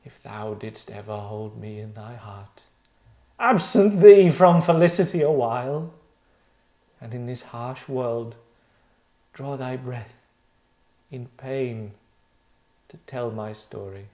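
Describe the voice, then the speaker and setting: Dying Shakespearean prince, performed by a man, grandly tragic and declamatory, slow delivery, roomy sound. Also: mid-range voice, lively intonation, darkish tone. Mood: anguished, pleading. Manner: declamatory, halting, drawn-out phrases. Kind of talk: theatrical Shakespearean table read. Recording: roomy echo.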